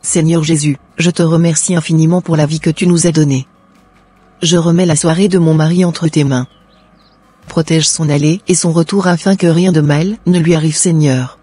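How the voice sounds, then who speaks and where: A man voicing a devoted, pious young wife who speaks softly and prays for her husband. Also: on the high side, steady tone, normal speed, slightly rough, dry recording, soft background music. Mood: prayerful. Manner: soft, pleading, prayerful.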